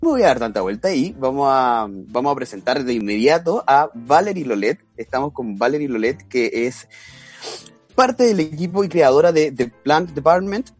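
A man hosting a casual podcast interview, speaking in a relaxed, hesitant way with many fillers. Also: mid-range voice, normal speed, faint music behind. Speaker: podcast host.

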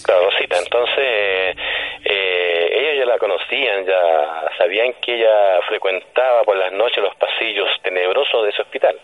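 A man giving conversational commentary on a radio show, explaining things in a measured, hesitant way over the phone. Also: low voice, dry recording. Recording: tinny phone line.

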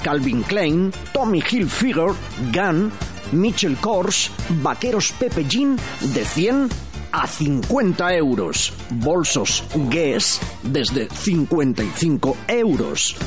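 A man's voice: on the high side, very animated, slow. A man with a Spanish accent reading a scripted retail radio advert as an announcer, selling to the listener. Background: prominent music.

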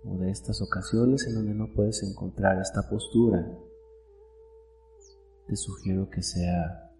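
A man guiding a meditation in a soft, warm, calm and gentle voice, unhurried and full of pauses. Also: low-pitched, slight background noise. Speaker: host and guide of a guided meditation show. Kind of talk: guided meditation, soothing narration. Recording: roomy echo, distant mic, soft music.

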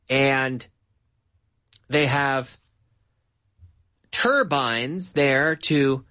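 A Canadian man teaching English in a patient, drilling way, saying each word several times. His voice sits a little higher than most men's. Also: pushed and bright. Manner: slow, repeats words for drilling. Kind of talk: bilingual English lesson.

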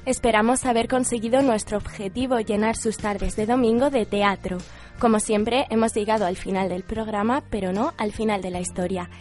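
A woman's voice: medium pitch, steady tone, moderate pace, darkish tone. Woman presenting a radio show over music. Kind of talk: scripted radio sign-off.

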